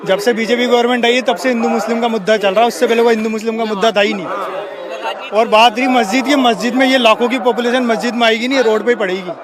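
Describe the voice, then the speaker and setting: A man talks fast and very high in a vox pop. He is outdoors on a street, interviewed as a local among a noisy crowd. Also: steady tone. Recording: clear crowd noise outdoors.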